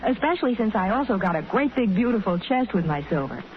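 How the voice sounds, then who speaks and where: An American actress playing a contented, gushing young married housewife in a 1940s radio advertisement. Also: female voice, mid-range voice, very animated, fast, close to an everyday voice. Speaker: sponsor spokeswoman. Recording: muffled, hissy vintage radio recording.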